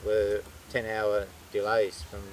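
A man, a guest, telling how a yacht was recovered in a casual outdoor interview on a beach, speaking haltingly with many fillers. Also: on the low side, monotone, slight background noise.

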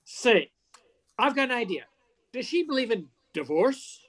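A woman's voice: a little high, very animated, a little slow. An older woman playing a wisecracking, scheming male reporter in a radio play, breezy and wry, over a video call. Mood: sly, scheming. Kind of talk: old-time radio play acting.

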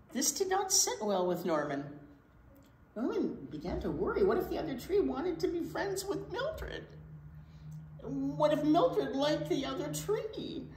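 An older woman in her sixties reads a children's story aloud with dramatic emphasis. Her delivery is unhurried and very expressive in pitch. She is in a roomy, echoey indoor space with faint noise. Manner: animated, dramatic pauses and emphasis. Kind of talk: expressive picture-book read-aloud. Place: indoor children's library room.

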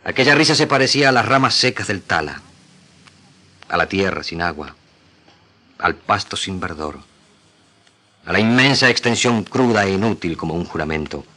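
A male Argentine radio actor reads literature aloud. His voice sits very low and carries a bright, forceful edge. The delivery is solemn, deliberate and theatrical, in a declamatory style. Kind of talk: dramatic literary reading for radio.

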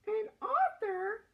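A man voicing an excitable, childlike puppet character in a squeaky, wide-eyed falsetto. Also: muffled recording, exaggerated voice. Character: excitable little fluffy puppet, eager childlike student.

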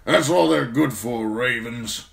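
A man voicing a gruff, irritable old lord commander in a fantasy audiobook, grumbling bluntly with grim, weary disgust. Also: medium pitch, a little slow, pitched above the performer's own voice.

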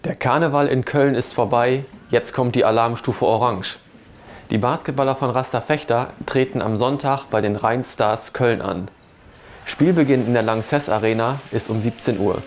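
A man's voice: medium pitch, moderate pace, a little hiss or hum. Man reading sports news. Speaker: sports journalist. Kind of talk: scripted sports report. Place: indoor studio.

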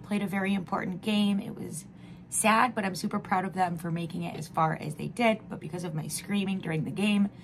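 A woman in her thirties from Michigan, slightly hoarse, chatting casually through a beauty box unboxing at home. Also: medium pitch, steady tone, a little fast, slight background noise. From Michigan, USA. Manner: chatty, frequent ums and uhs. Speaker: beauty vlogger. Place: home room with wooden wall backdrop.

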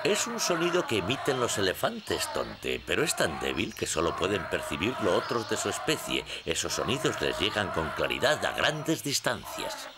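An actor voicing a kindly, wise old gnome leader in a dubbed cartoon, avuncular and instructive. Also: male voice, on the low side, huge pitch swings, normal speed, few pauses, very noisy. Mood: explaining.

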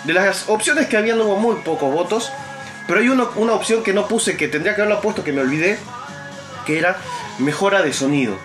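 A young Argentine man hosts a relaxed group conversation. His voice sits on the high side. He is picked up by a camera across the table in a small room, with music clearly audible behind him. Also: fast talker. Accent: Argentine Spanish. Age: young adult. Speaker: host. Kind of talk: casual group chat, discussing viewer survey. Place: small tatami inn room, indoors.

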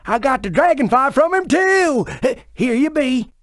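A woman's voice: high-pitched, expressive, measured pace, dry recording, clean studio sound. A woman playing a folksy, chatty honey-swamp dragon in a video game. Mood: friendly. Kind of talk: scripted video game character dialogue.

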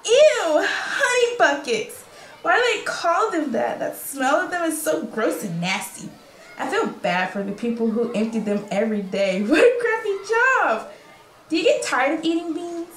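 Woman playing a high, lively little refugee girl. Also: a little slow. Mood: disgusted, amused. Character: young refugee girl, candid and chatty. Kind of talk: radio play dialogue. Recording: echoey room with faint noise.

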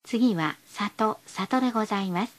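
A woman's voice: medium pitch, moderate pace. A woman reading scripted bus stop announcements in a polite, formal, carefully enunciated way.

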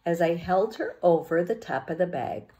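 A middle-aged woman reading a children's novel aloud as an expressive storyteller, recorded at home on a handheld-distance device. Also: on the low side, moderate pace. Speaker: teacher reading a book aloud. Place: small home room with bookshelves.

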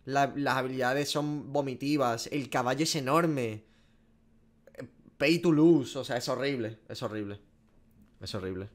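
A man's voice: medium pitch, unhurried, pauses often. A man, giving casual, profanity-laced reaction commentary as a gaming streamer. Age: 20s. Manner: slangy, profane. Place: small room at home.

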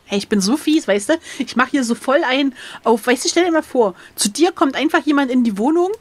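A woman's voice: sits high, expressive, fairly quick. A woman playing along with an adventure gamebook in casual, slangy chat, joking and sarcastic. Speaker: co-host and player.

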